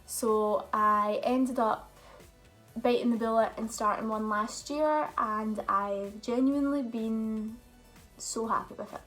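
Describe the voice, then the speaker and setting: A woman's voice: on the high side, fairly even intonation, slow pace, fairly bright tone, soft background music, poor audio. A young woman, chatting openly and telling stories in a casual question-and-answer vlog. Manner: chatty, open storytelling with fillers. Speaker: vlogger.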